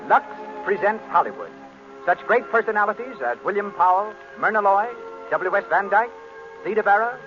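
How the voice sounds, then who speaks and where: Old timey radio announcer guy, high voice, formal, music behind, muffled. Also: fairly bright tone. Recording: muffled 1930s radio recording.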